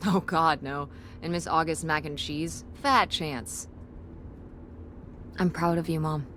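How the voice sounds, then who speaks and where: An actress playing an earnest teenage daughter who designs websites, speaking in a casual, sincere, slangy way in naturalistic game dialogue. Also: female voice, deep voice, steady tone, slow pace, a bit bright, a little hiss or hum. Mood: upbeat.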